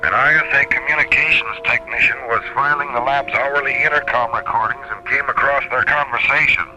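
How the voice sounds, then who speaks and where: An actor playing a businesslike space commissioner in a radio science fiction drama, matter-of-fact and official. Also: male voice, medium pitch, brisk, close to an everyday voice, rough recording. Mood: sober. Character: businesslike male space commissioner, sober authority. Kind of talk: old-time radio science fiction acting.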